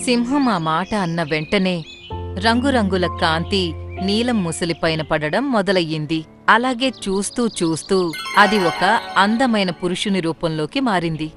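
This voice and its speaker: A woman's voice: fairly low pitch, expressive, normal speed, over music. A woman narrating a scripted children's story.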